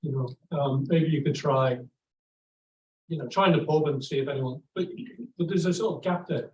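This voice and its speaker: A man, asking a long question at an academic talk, halting with many restarts. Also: a little high, a little mellow. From England. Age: 60s. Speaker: audience member asking a question. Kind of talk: academic discussion. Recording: echoey room from far off, faint noise.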